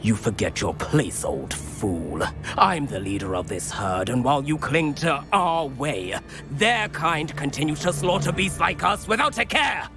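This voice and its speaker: A man's voice: a little high, very animated, unhurried. An actor playing a hostile male centaur leader who mocks and threatens humans in a video game. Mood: menacing. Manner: scornful, threatening. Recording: game audio with music underneath.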